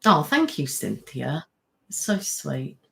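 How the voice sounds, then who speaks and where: A woman's voice: low-pitched, sing-song, slow, vocal fry, a bit bright. A woman, chatting casually on a live stream and answering viewers' comments in a rambling, friendly way. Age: 30s. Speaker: ASMR channel host.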